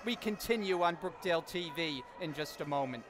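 A man calling a college basketball game play-by-play, getting louder and excited on big plays. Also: fairly high pitch, fairly quick. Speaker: play-by-play announcer. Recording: crowd and court noise behind the commentary.

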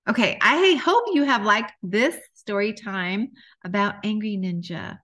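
A woman, middle-aged, reading a children's story aloud with expressive, deliberate delivery for young listeners. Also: medium pitch.

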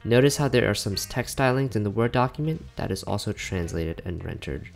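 A man giving a casual, plain-spoken scripted tutorial narration as a software developer explaining a tool. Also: lowish voice, expressive, a little fast, gravelly. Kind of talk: scripted explanatory narration over screen recording. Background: background music with guitar.

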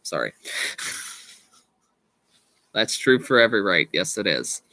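A man in his thirties talks to his live stream viewers through a desk webcam in a small room at home. His voice is fading as he loses it, and he speaks very slowly with many halting restarts. The sound is close and dry. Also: on the low side, big pitch swings, pushed and bright, no room sound. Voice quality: slow, halting, with frequent restarts and laughs.

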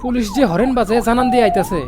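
A man's voice: very high voice, wildly swooping pitch, rapid-fire, exaggerated voice. A man voicing a goofy kidnapper henchman in a cartoon, grumbling and cheeky toward the boss. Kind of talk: animated cartoon voice acting.

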